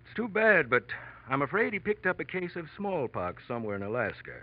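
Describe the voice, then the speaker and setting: An actor playing an affable, gently bossy ship's doctor in a 1940s radio drama, chatty and unhurried. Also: male voice, a little high, big pitch swings. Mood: matter-of-fact. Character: affable, talkative male ship's doctor, quietly insistent. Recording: dull old radio recording.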